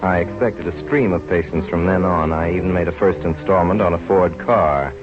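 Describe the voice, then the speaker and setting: An earnest young doctor, played by a male actor in a vintage radio play, speaking very low. He recounts his life and argues his case sincerely. The old recording is dull, with clear music underneath. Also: moderate pace, close to an everyday voice. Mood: reflective. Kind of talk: 1930s radio drama acting and first-person narration.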